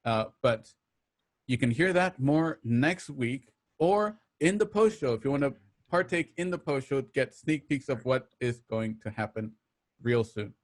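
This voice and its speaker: A man's voice: mid-range voice, lively intonation, a little slow. Casual male radio show host. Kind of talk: casual sign-off announcements to listeners.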